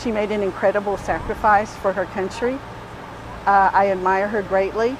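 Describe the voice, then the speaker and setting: Female activist amid clear street noise. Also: mid-range voice, steady tone, normal speed. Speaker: protester, activist. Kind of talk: statement to a news reporter. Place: outdoors on a street.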